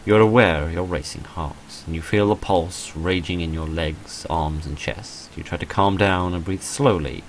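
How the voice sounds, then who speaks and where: A man's voice: low voice, moderate pace, few pauses, a little hiss or hum, low-quality recording. A man reading visual novel text aloud dramatically, with dry, understated wry commentary in between. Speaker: gamer recording a playthrough.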